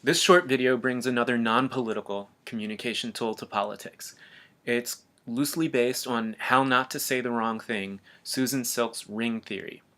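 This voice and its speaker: A man giving a calm, earnest scripted voice-over narration for an explainer video. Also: mid-range voice, normal speed.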